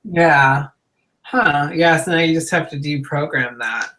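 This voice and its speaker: A woman's voice: sits low, measured pace, a bit bright. A woman co-hosting a casual video-call chat, telling stories in a chatty way and laughing often. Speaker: co-host.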